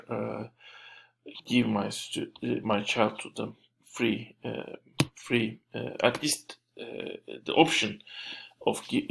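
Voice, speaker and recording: A man with a Turkish accent lectures without a script. His voice sits at a middling pitch with heavy vocal fry. His delivery is halting, full of searches for the right word and reworked phrases. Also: poor audio. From Turkey. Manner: halting, searching for words, frequent self-corrections. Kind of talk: unscripted lecture.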